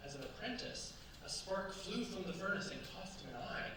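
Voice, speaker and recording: An actor playing an eager, doting young French bridegroom in a staged radio play, with a courtly, effusive manner. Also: male voice, high voice, expressive, normal speed. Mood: proud, enthusiastic. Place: staged performance in a cultural centre. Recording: very echoey hall, distant mics, faint hiss.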